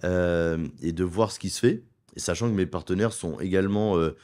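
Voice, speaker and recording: A middle-aged man from France speaks with a somewhat low voice. He argues his points persuasively in a studio interview, stacking them in quick runs. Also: darkish tone. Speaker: wealth advisor and property investment guest. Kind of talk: conversational interview.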